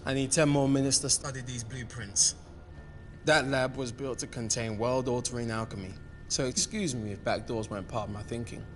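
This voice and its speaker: A man's voice: mid-range voice, steady tone, moderate pace, soft background music, close to an everyday voice. An actor playing a testy, pompous man who designed a lab, brusque in a film-style game thriller. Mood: irritated. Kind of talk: naturalistic film drama acting.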